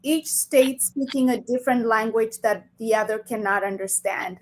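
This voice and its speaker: Middle-aged Kenyan-accented woman, fairly high voice, conversational interview guest who explains with emphasis. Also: normal speed, slight background noise, rough recording.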